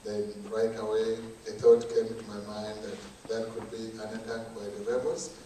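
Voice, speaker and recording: A young Ugandan man from northern Uganda giving a measured, earnest personal testimony as a conference speaker. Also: fairly low pitch, dark tone, slight background noise. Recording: echoey hall from far off.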